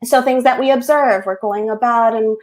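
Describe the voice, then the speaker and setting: Author, woman, Spanish-first speaker, animated hesitant chat over a video call. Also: a little high, normal speed. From United States. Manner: animated, hesitant with many ums. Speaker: picture book author. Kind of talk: casual webinar talk.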